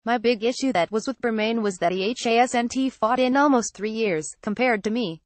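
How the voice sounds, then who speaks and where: A woman's voice: on the high side, normal speed, no echo. A woman's synthetic text-to-speech voice reading a written transcript aloud as a narrator.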